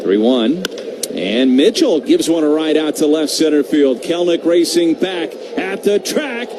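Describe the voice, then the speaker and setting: A man doing live radio baseball play-by-play, conversational between pitches and excitable on the big calls. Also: a little slow. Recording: noisy live radio broadcast feed.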